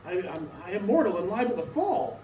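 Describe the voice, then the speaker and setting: Jittery old miser acted by a man, high, swooping pitch, stammering and hesitant, stage reading heard from afar in an echoey room. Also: very animated, brisk, warm tone. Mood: timid, bewildered. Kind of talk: theatrical dramatic reading. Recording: distant echoey room, music behind.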